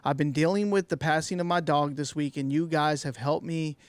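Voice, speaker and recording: A man co-hosting a retro podcast, reading fan mail in a relaxed, slangy, joking way. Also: a little high, moderate pace, clear, resonant tone, no room sound. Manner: laid-back, slangy, laughs easily. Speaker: podcast co-host.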